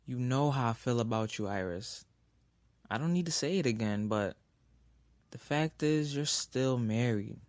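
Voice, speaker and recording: An actor playing a young man in love in an audio drama, speaking earnestly and in a measured way. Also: medium pitch, lively intonation, no echo, clean studio sound, natural voice. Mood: serious.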